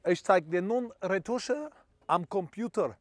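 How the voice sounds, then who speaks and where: A man's voice: sits high, expressive, unhurried, slight background noise. A man playing a boastful self-proclaimed bird photographer who speaks broken German mixed with English. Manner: boastful, stumbling over words. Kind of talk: parody how-to video.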